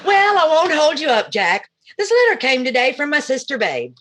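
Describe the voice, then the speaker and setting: Actress doing a wisecracking female sidekick, high, animated, teasing, sassy, 1940s radio comedy timing. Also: normal speed, slight background noise. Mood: wry.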